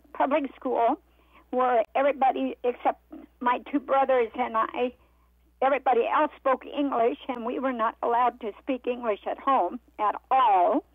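An earnest woman from the American Midwest tells her story as a radio guest, pausing often and filling gaps. Her voice sits high. It comes through a narrow telephone line with no room sound. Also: no room sound. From Iowa and Missouri, United States. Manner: earnest, halting, with many fillers. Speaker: guest author. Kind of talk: personal testimony in a radio interview. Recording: tinny phone line, dry.

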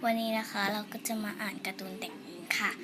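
A woman's voice: mid-range voice, flat delivery, fast talker, noisy background. A woman telling a story casually to viewers, addressing them politely and directly as host. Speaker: host reading a homemade comic.

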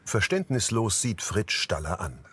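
A man's voice: on the low side, big pitch swings, unhurried, a bit bright, slightly rough. A man reading scripted audio description of scenes, neutral and matter-of-fact. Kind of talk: scripted present-tense scene narration.

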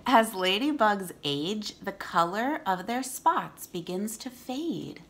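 A woman's voice: mid-range voice, sing-song, slow pace, a bit bright. A woman, reading aloud to children as a teacher and chatting casually between passages. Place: room at home.

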